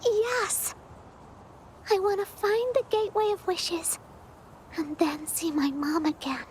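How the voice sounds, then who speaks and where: Timid, very high-voiced young girl. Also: steady tone, slow pace, a little hiss or hum. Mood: hopeful. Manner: hesitant, soft-hearted. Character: timid, wistful young girl longing for her mother. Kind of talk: video game voice acting.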